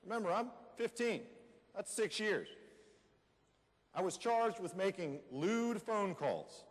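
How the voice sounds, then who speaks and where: A man's voice: high voice, lively intonation, slow. A man with an American accent playing a plainspoken man who recounts his wrongful jailing as a teenager in a readers theater monologue. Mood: indignant. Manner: conversational storytelling with emphatic short phrases. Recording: reverberant hall.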